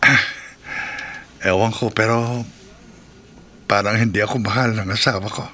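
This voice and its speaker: A man's voice: fairly low pitch, steady tone, a little slow, bright, forceful tone, slight background noise. A man playing a meek, nervous, lovelorn husband in a horror radio drama, hesitant and prone to stammering. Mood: wistful, hurt. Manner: hesitant, stammering when flustered.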